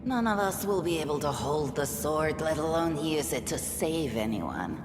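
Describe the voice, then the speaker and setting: An actress playing a stern, proud and fatalistic tribeswoman in a video game, solemn and measured. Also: low-pitched, animated, background noise. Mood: scornful, stern. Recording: echoey hall reverb. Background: background music.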